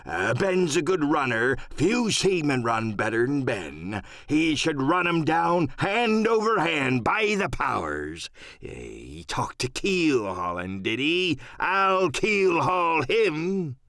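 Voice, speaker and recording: A man's voice: fairly high pitch, sing-song, slow, a bit bright, no echo, studio quality, heavily acted. A man voicing a hearty, cunning old pirate sea cook in an audiobook, talking in folksy, salty seafaring slang. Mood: excited, blustering. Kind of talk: audiobook radio-play character acting.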